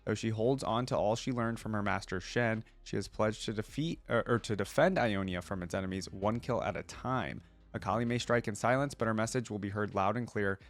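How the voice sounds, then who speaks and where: Low-voiced man doing casual reaction commentary. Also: a little fast, faint music behind. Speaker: host of a reaction video. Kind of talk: casual reaction commentary mixed with reading aloud.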